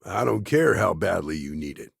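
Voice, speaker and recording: An actor playing a gruff, streetwise frontier lawman in a video game, with an American accent, a gravelly, husky voice and a dry, plain-spoken manner. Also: sits low, big pitch swings, moderate pace, no echo, full character voice. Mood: wary, guarded. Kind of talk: video game character dialogue.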